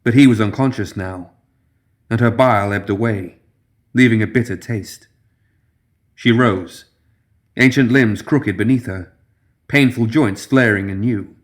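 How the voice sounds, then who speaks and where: Low-voiced man narrating dark fantasy. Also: a little slow, long pauses. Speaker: audiobook narrator. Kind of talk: dramatic scripted fantasy narration.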